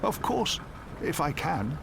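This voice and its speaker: Young farm boy for a fairy tale, played by a male narrator: high, earnest, anxious, questioning, over steady rain. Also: slow, gravelly, exaggerated voice. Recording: steady rain noise behind the voice.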